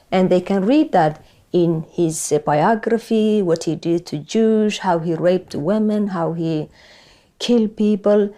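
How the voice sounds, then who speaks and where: Low mellow woman with Somali accent. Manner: halting, repeats words, non-native English. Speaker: interviewee, activist. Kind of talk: interview testimony.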